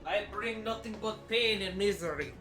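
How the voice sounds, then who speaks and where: A man's voice: sits high, moderate pace, bright, forceful tone, soft background music. A man running a tabletop game, chatting casually with his players in a laid-back, profane way. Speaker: game master.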